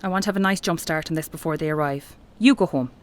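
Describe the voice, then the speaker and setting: A woman playing a dedicated archeology professor in an audio horror drama, speaking in an articulate, measured, scholarly manner. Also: low voice, natural voice. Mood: reassuring, brisk. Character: dedicated female archeology professor, affectionately commanding, increasingly entranced. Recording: music bed behind the voice.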